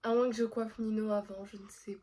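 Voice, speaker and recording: Woman, stuffy nose from a cold, casual vlog chat, mellow tone, lots of fillers, home bedroom. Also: mid-range voice, level tone, fairly quick. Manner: chatty, talks straight to viewers with many fillers. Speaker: vlogger.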